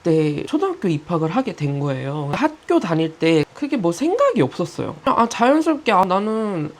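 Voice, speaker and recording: A young woman in her twenties sits and tells her life story as a casual vlog. Her voice sits on the lower side, but it rises and falls a great deal. She slips in chatty asides as she goes. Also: normal speed. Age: 20s. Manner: candid, chatty, animated retelling with quoted asides. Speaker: YouTuber.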